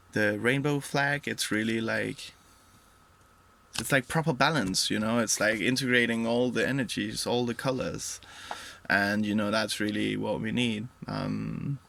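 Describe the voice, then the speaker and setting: Slow, gravelly-voiced man from Denmark. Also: fairly low pitch, sharp tone. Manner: hesitant, many fillers and trailing pauses. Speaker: vlogger. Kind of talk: rambling reflective monologue.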